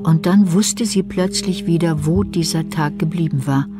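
A woman narrating a dark crime radio play in a composed, scripted style. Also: lowish voice, moderate pace, music in the background, natural voice.

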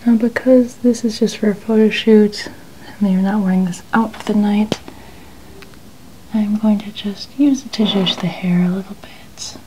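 A young woman whispering softly and breathily in an ASMR styling roleplay, gently narrating small actions as a stylist. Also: mid-range voice, fairly even intonation, moderate pace, slight background noise, low-quality recording. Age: young adult. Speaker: ASMR host playing a dress stylist. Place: small indoor studio room. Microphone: small mic clipped at chest.

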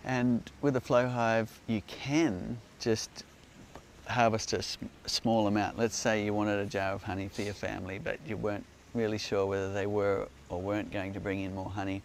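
A man's voice: fairly low pitch, little pitch movement, moderate pace. A man in his forties hosting an outdoor beekeeping Q&A, explaining with enthusiasm while he demonstrates in a hillside garden. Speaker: beekeeping company host. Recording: faint outdoor noise.

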